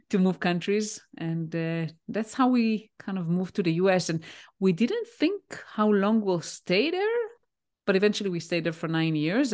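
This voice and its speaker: A woman in her forties from Israel, a native Hebrew speaker, answering interview questions on a video call with a headset, pausing often with fillers. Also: fairly low pitch, a little mellow. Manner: thoughtful, pauses with many "uh" fillers. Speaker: guest, leadership coach. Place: home room, indoors.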